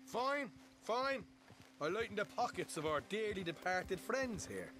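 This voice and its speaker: An actor playing a brash, cocky young outlaw in a video game drama, cheeky and argumentative. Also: male voice, sits high, animated, a little slow.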